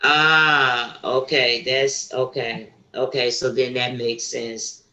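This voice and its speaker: A man's voice: medium pitch, flat delivery, slow, bright, forceful tone, reverberant. A man taking part in a class, speaking informally and thinking aloud as he asks and replies. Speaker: class participant. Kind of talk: casual question and reply in a class. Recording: dull, lo-fi call audio.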